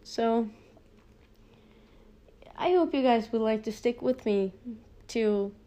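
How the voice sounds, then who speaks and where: A woman's voice: a little high, takes it slowly, mellow. A sixteen-year-old girl from Norway with a Norwegian accent, hosting a casual solo podcast, rambling with lots of fillers and self-conscious asides. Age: teen. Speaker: podcast host.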